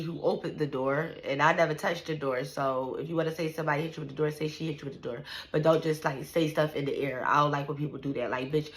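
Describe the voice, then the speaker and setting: Woman, 30s, casual vlog rant, blunt, profane, slightly low voice. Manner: blunt, profane and animated, trailing "like" and "you know what I'm saying". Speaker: vlogger. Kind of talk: casual venting to the camera.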